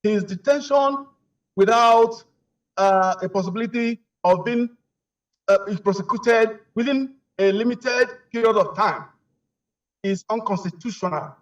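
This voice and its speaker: A man's voice: high voice, measured pace. A man giving emphatic live news commentary as a remote guest, full of rhetorical questions and restarts. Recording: distant, dull lo-fi remote line.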